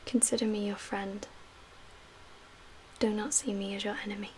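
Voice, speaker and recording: Soft whispery asmr lady playing a calm guarded camp medic, pretty monotone, bit of hiss behind her. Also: mid-range voice, normal speed. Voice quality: whispery, breathy. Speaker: ASMR roleplay performer. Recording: audible background hiss and ambience.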